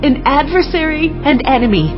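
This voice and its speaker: A dignified, impassioned queen in a dramatized scripture recording. Her voice is high and earnest, with formal phrasing. Music sits under the slightly dull sound. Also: expressive, normal speed. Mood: accusing, intense. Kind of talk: dramatized audio Bible acting. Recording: muffled audio with music underneath.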